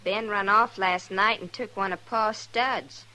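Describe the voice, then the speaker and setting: Young frontier ranch girl for old radio western: bright, forceful voice, rural American accent, plain-spoken and naive. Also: mid-range voice, steady tone, unhurried, low-quality recording. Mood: earnest, worried. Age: young adult. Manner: plain-spoken, artless, literal. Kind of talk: 1950s radio western acting.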